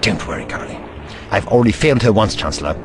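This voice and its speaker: A man's voice: fairly low pitch, expressive, fast, music in the background, natural voice. A man voicing a haughty, eccentric time-traveling scientist in an audio drama, pompous and lecturing.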